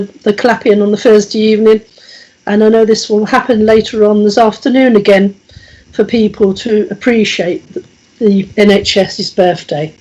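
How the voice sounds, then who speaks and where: A woman with a croaky, husky voice leads a spiritualist service. She speaks gently and steadily, often restarting phrases as she guides listeners through prayers. Her pitch sits in a middle range. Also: muffled recording. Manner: gentle, measured, with frequent small restarts. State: croaky, coughing voice. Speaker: church service leader. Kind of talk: reading prayers and leading a healing meditation.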